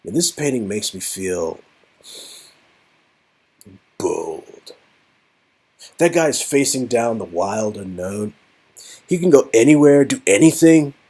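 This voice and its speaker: A man voicing a frustrated, halting man who is slowly unravelling into panic in an interactive story game. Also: on the low side, very animated, dark tone, husky, heavily acted. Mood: awed, bold. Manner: halting, stammering.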